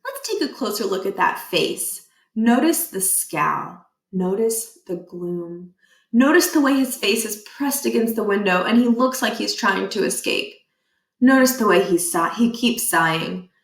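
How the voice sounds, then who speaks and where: A woman reading a children's book aloud expressively, with deliberate emphasis and a playful deadpan touch. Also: medium pitch, creaky. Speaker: read-aloud storyteller. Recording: echoey room.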